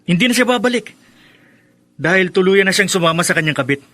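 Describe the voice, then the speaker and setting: An actor playing a spiteful, scornful grown son in a Filipino radio drama, sarcastic and emphatic. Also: male voice, high voice, animated, quick pace, sharp tone, no room sound, full character voice. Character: spiteful, scornful grown son who despises his stepmother.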